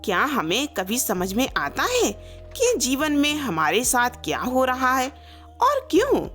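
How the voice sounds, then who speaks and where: A woman's voice: sits high, wildly swooping pitch, a little slow, a bit bright, soft background music, heavily acted. A woman voicing an earnest, curious young boy asking questions in a storybook tale.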